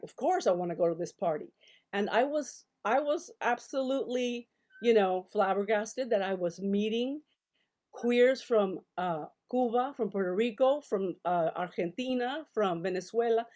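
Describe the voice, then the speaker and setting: An older woman talks over a video call from her book-lined home study. She tells her stories in an animated, chatty way, full of fillers and self-corrections, at a middle pitch. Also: a little slow. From El Paso, Texas. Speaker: guest, novelist and scholar. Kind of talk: casual storytelling interview.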